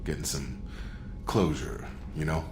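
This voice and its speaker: An actor playing a steady military operative in a video game, earnest and clipped but informal. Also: male voice, a little slow. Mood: grim resolve. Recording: noisy rumbling game ambience.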